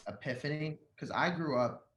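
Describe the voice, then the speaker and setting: Male, twenties, native Arabic speaker, animated casual storyteller on a video call, roomy sound with faint noise. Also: on the high side, normal speed. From Jordan, moved to the United States as a young child. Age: 20s. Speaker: podcast guest, rock singer. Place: living room at home, indoors, on a video call. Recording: echoey room, faint background noise.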